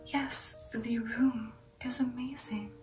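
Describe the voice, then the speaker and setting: A breathy, whispering vampire noblewoman, played by a woman, tells her tale in an intimate ASMR roleplay. Her voice is fairly high and evenly pitched, with genteel poise and slow, sensual pauses. It sits in a roomy echo with music behind it. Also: steady tone, darkish tone, far from the mic. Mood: gracious, poised. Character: female aristocratic vampire, poised, sensual, recounting her past. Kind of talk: ASMR roleplay storytelling, whispered and soft-spoken.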